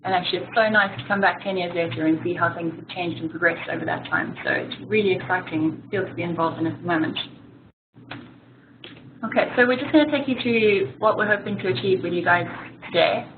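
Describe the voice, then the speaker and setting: A woman with a soft South African accent explains things in a friendly webinar talk, pausing on plenty of ums. Her voice sits a little low, and it comes through a narrow phone-like line with a steady hiss underneath. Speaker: physiotherapist presenter. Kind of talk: webinar presentation. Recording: tinny phone-line audio with clear hiss.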